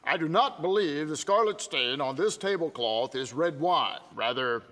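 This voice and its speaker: A polite small-town banker playing at radio detective. His delivery is measured and deliberate, rising and falling freely on a fairly high voice, in a reverberant hall. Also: expressive, bright, forceful tone. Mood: grandly suspenseful. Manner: measured, deliberate, suspenseful pauses. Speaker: amateur radio actor. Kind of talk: film acting, mock radio-mystery delivery. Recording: reverberant hall, music behind.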